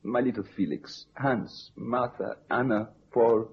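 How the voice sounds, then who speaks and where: An actor playing an excitable, garrulous motorist in a radio drama, effusive and repetitive, in broken English. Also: male voice, mid-range voice, slow, lightly acted. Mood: excited, dramatic. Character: excitable, garrulous foreign motorist, humble family man. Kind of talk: old-time radio drama acting. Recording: dull vintage radio recording, faint hiss.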